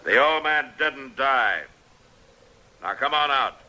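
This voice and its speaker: An actor playing a stern, weary American marshal of the Old West, terse and commanding, in old-time radio western style. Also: male voice, mid-range voice, expressive, takes it slowly, sharp tone, full character voice. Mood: shouting, commanding. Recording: noisy old radio drama recording.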